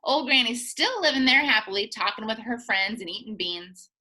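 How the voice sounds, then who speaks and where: A woman reading a children's picture book aloud in a playful, expressive way, as a teacher does for young listeners. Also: on the high side, moderate pace. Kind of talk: animated children's storybook read-aloud.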